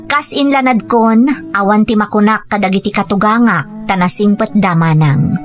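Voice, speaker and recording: A woman's voice: medium pitch, moderate pace, close to an everyday voice. Woman acting an earnest, accepting young wife. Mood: resigned narration. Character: dutiful young wife, hesitant but accepting. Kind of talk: scripted audio drama dialogue and narration. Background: faint music.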